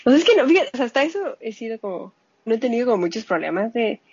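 A woman's voice: fairly high pitch, quick pace, slight background noise. A woman, a scientist and mother, telling stories in casual conversation and quoting herself. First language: Spanish. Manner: animated storyteller, quotes herself. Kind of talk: casual interview chat. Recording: muffled lo-fi dry audio.

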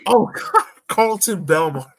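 A man with a somewhat high-pitched voice hosts a sports discussion. He talks loosely and informally, with a joking touch, as he puts questions to the panel. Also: lively intonation, a little slow. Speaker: podcast host. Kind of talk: casual sports panel chat.

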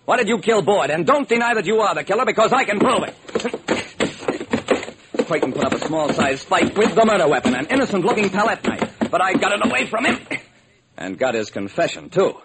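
A man's voice: high voice, big pitch swings, fairly quick. A man acting as a hard-boiled sleuth narrating his case in an old-time radio crime drama, clipped and wry. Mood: pressing, triumphant. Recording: noisy vintage radio recording.

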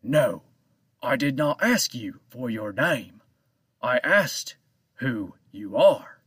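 A man voicing a mysterious old island keeper who speaks in slow, measured riddles. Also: mid-range voice, sing-song, fairly bright tone, slightly rough, no echo, studio quality, far from the mic, heavily acted. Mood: calm, cryptic.

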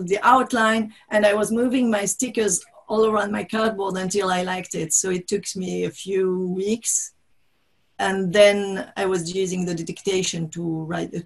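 A woman whose native tongue is French speaks English in a relaxed interview, her voice carrying a slight gravelly fry. She talks in halting bursts, correcting herself midway and laughing softly between thoughts. The sound is that of a video call. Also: medium pitch. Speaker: author, guest. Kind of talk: conversational interview.

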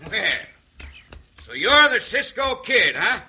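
An actor playing a cocky, murderous outlaw gang boss with a Western drawl and taunting, folksy menace, in an old-time radio western. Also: male voice, high-pitched, big pitch swings, takes it slowly, creaky, bright, forceful tone, exaggerated voice. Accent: American Western drawl. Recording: faint noise, old radio recording.

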